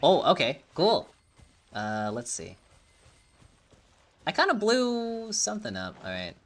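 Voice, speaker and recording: A woman's voice: fairly low pitch, wildly swooping pitch, takes it slowly. A woman giving casual gameplay commentary, reacting loosely with mumbles and swearing. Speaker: game streamer.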